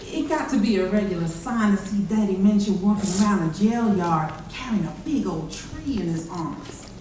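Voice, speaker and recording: A woman's voice: medium pitch, animated, normal speed, warm tone. A woman narrating a folk tale as part of a readers theater performance. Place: large echoey chapel during a live performance. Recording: very echoey hall, distant mic, faint noise.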